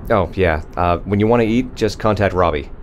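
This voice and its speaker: Man as a foul-mouthed injured crewman, very deep voice, blunt, faint hum behind. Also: brisk, lightly acted. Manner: blunt, swearing, wry.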